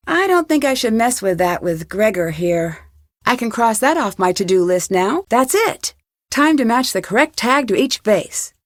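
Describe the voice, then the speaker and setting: A woman voicing a curious, determined young female detective in an adventure game, talking to herself in clear, purposeful scripted lines. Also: on the high side, dry recording, clean studio sound. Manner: clear self-talk, inquisitive and purposeful. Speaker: game protagonist.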